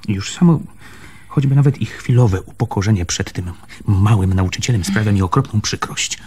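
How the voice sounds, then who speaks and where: An actor in a radio play as a proud, weary male land surveyor, reluctant and resentful, with a gravelly, husky voice. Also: lowish voice, moderate pace, dark tone. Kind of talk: radio theatre acting, understated.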